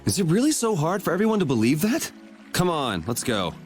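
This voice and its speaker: A man's voice: a little high, very animated, fairly quick, soft background music. A man playing a wry young ex-knight in a video game, laid-back, dry and teasing in delivery. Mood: nonchalant. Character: cool, wry young male ex-knight swordsman. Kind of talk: English video game voice acting.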